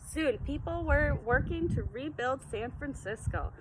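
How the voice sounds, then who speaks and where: A woman's voice: high voice, animated, unhurried. A woman presenting for children in a read-aloud and casual demonstration style, with a noisy recording. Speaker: storytime presenter.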